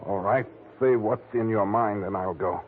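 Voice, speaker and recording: A somewhat low, muffled voice: a man acting a touchy, guarded gangland racketeer. His phrases are short, curt and clipped, in the manner of 1940s radio, over a faint hiss on the old recording. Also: moderate pace, natural voice. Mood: tense, curt.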